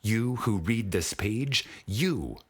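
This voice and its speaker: Slow, expressive male spiritual audiobook narrator. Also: on the low side, breathy edge. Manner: solemn, deliberate, with dramatic pauses.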